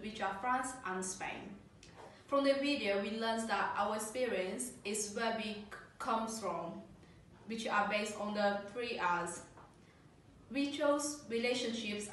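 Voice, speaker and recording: A young woman presents a class project carefully and a little haltingly. She is recorded from a distance, with faint noise. The classroom echoes strongly. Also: a little high, a bit bright. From Brazil. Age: young adult. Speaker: student presenter. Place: classroom with a large screen. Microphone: far camera. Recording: echoey room, camera far off.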